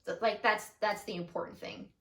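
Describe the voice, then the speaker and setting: Young woman, loud voice that carries, super expressive, roomy echo from a ways back. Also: mid-range voice. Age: young adult. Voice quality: loud, carrying voice. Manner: expressive, animated, quick to laugh. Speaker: co-host, daughter. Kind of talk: casual chat. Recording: roomy, mic at a distance.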